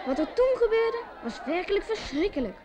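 A lively woman narrator tells a village tale in an old radio play. Her voice is very high and swings widely, with a faint crowd behind her. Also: brisk, noisy background. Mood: suspenseful, lively.

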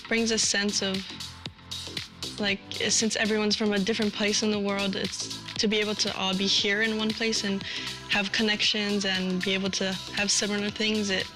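A woman's voice: medium pitch, little pitch movement, normal speed. A young woman from Hawaii, a university freshman speaking casually in a filmed interview. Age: young adult. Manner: casual, uses "like". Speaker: student scholarship recipient. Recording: music playing underneath.

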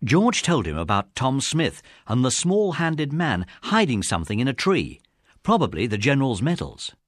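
A man's voice: mid-range voice, huge pitch swings, normal speed, no echo, clean studio sound. A man narrating a children's adventure story from a script. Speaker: audiobook narrator.

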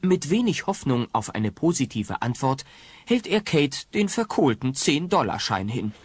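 An actor narrating a comedic family radio play as a wry storyteller with a husky voice. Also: male voice, mid-range voice, sing-song, a little slow, dry recording.

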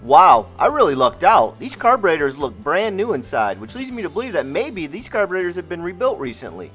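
Male DIY narrator, high voice with lively pitch swings, scripted tutorial delivery. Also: a little fast. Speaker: DIY host. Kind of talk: scripted how-to voice-over narration. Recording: muffled, band-limited voice-over with music. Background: music.

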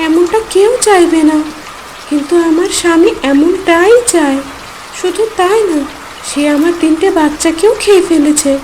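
A small, clever mother tailorbird in a cartoon, pleading and daring by turns. The voice sits high and mellow. Faint rain hisses behind her. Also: low-quality recording.

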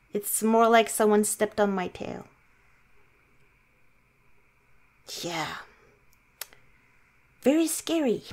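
A woman playing a shy, awkward young werewolf girlfriend in improvised ASMR roleplay, halting and self-mocking. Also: fairly high pitch.

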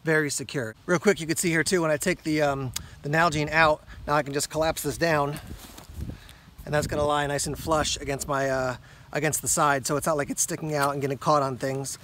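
A man giving a casual hands-on gear walkthrough outdoors, pausing with ums and correcting himself as he talks. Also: a little high, flat delivery, a bit bright, slight background noise. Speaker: gear reviewer. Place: outdoors on a rock among plants.

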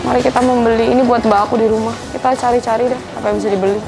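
Young female vlogger, chatty and musing aloud, bright-ish higher register, music bed underneath. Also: fairly even intonation, racing, gravelly, rough recording. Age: young adult. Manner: chatty, thinking aloud while browsing shelves. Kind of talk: casual shopping vlog chat. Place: large supermarket indoors.

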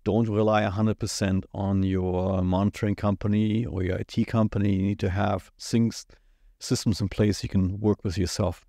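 Brief: German-accented man, 40s, low voice, laid-back podcast co-host, dry close mic. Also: normal speed, gravelly, no room sound. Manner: relaxed, rambling with many fillers. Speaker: IT company owner, podcast co-host. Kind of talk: casual podcast chat. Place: small studio set. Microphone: studio mic on boom at the mouth.

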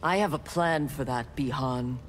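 An actress playing a calculating ninja clan loyalist in a video game, controlled, clipped and assured. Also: female voice, very deep voice, normal speed, very noisy. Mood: cool, resolute. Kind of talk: video game character dialogue. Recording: loud music behind the voice.

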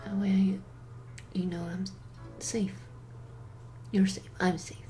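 Woman as young male cat superhero, devoted, earnest, halting, fan audio drama, background music. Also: medium pitch, flat delivery, dark tone, natural voice. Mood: reassuring. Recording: music under the voice.

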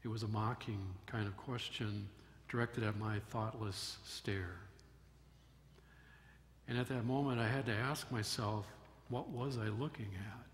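An older man giving a sermon from a church pulpit, telling a story in a measured way with emphatic rises. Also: lowish voice. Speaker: pastor. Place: church sanctuary at a wooden pulpit. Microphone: pulpit microphone. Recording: long church reverb, faint room noise.

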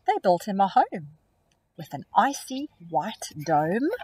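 Breathy, expressive storytelling teacher. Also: female voice, mid-range voice, slow pace. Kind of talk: reading a picture book aloud to children. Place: outdoors in a grassy field.

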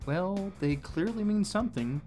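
A man's voice: sits high, animated, moderate pace, a little mellow, faint music behind. A man co-hosting a scripted explanatory commentary on a cartoon. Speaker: co-host.

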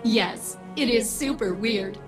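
A prim, formal ship AI. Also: female voice, a little high, slow, music in the background. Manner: formal, precise, slightly robotic. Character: prim female AI construct, formal and eager to serve. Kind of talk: video game voice acting.

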